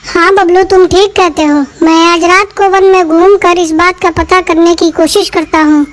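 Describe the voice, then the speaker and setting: A performer voicing a small, earnest male rabbit who is eager to help in an animated moral story. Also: extremely high pitch, normal speed. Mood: earnest, thoughtful. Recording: music behind the voice.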